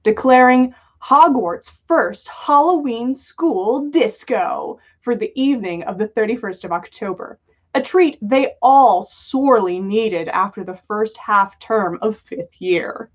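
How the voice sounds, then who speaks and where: A woman's voice: fairly high pitch, slow pace. A woman reading a story aloud as a livestream host, with lo-fi sound. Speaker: podcast host reading fan fiction aloud. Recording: dull lo-fi livestream audio.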